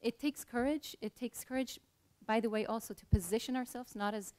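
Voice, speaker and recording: A woman's voice: a little high, steady tone, brisk, a little mellow. A middle-aged woman from Israel, speaking earnestly on a panel as an HR leader and telling a personal story.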